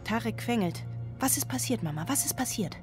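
A woman's voice: a little high, expressive, fairly quick, natural voice. An actress in a radio drama playing a harried, strict single mother with a clipped, curt delivery. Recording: loud music under the voice.

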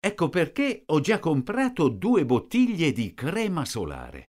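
A man slowly reading out short sentences, each one twice, for a language lesson. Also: a little high, huge pitch swings, a little mellow. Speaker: language teacher. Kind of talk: slow repeated phrase reading.

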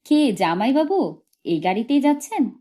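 Woman playing a coy, smiling young woman, pitched up. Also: very animated, normal speed, dark tone. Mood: surprised, smiling. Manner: light, surprised, smiling.

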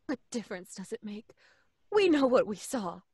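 A woman's voice: fairly high pitch, sing-song, moderate pace, a little mellow, slightly rough, no room sound, heavily acted. A woman playing a defensive, frightened young woman in old-time radio style, agitated and defiant. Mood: edgy, defensive.

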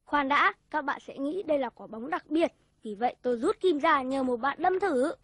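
High-pitched showy schoolboy magician. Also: female voice, animated, normal speed, a bit bright, a little hiss or hum. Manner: theatrical announcing. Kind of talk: cartoon voice acting, stage patter.

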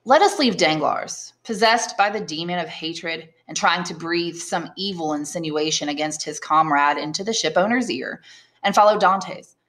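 A woman's voice: fairly low pitch, normal speed, fairly bright tone. A woman English teacher giving a plain, didactic lesson and narrating a book. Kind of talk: explanatory lesson and audiobook narration.

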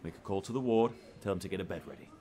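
A man's voice: low voice, racing, warm tone, natural voice. A man playing a weary, dry-witted hospital doctor in a naturalistic audio drama. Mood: urgent.

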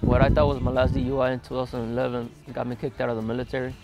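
A man in his twenties, interviewed outdoors in a desert yard, speaking plainly with many hesitant pauses. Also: mid-range voice, monotone, a little mellow, highs cut off, faint music behind. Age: 20s. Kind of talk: documentary interview, recounting his past.

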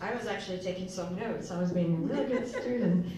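Woman moderator, halting speech, distant phone recording in a reverberant parish hall. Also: lowish voice, background noise. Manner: halting, many fillers. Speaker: discussion moderator. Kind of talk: casual group discussion. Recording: echoey hall from far off.

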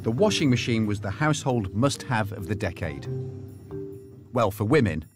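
A man's voice: lowish voice, normal speed. A male presenter, narrating a documentary and chatting with a curious, upbeat manner over music. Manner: curious, upbeat, lively delivery. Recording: music under the voice.

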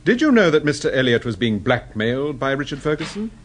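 British gentleman sleuth, husky, very animated pitch, polite, shrewd, radio crime drama. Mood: probing. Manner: polite, probing, measured.

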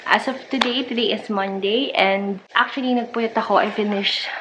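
A woman's voice: mid-range voice, fairly bright tone, a little hiss or hum, low-quality recording. Chatty Filipino woman vlogging at home. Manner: chatty, mixing English and Tagalog. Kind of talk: casual vlog chat. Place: living room at home. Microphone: camera close to face.

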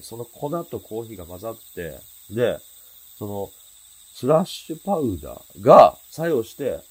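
A cocky, hot-headed young thug in a radio drama. He drawls in rough slang, low and husky, with pitch that swoops around. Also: a little mellow. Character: boastful, short-tempered teenage male delinquent. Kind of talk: Japanese radio drama acting.

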